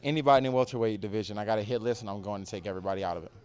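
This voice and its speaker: A man's voice: fairly low pitch, rapid-fire, a little mellow, smooth. A young man answering post-fight questions in terse, confident short statements. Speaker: fighter interviewed after a win. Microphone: handheld interviewer mic.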